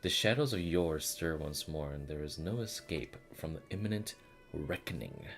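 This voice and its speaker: A man with a very low voice streams a game. He talks informally, thinking aloud and swearing freely as he comments. Music plays behind him. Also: lively intonation, normal speed, fairly bright tone. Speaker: game livestreamer. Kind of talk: casual stream chat with gameplay commentary. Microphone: streaming microphone.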